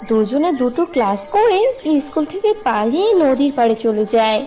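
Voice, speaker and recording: A woman's voice: a little high, sing-song, normal speed, mellow, soft background music. A woman narrating a scripted cartoon story. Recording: distant voice with clear background noise.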